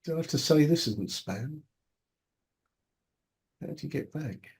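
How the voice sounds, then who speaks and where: A man's voice: on the high side, lively intonation, unhurried, a little mellow, husky. A man on a video call who mutters and thinks aloud as he hunts through his screen. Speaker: meetup attendee. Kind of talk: casual video call chat.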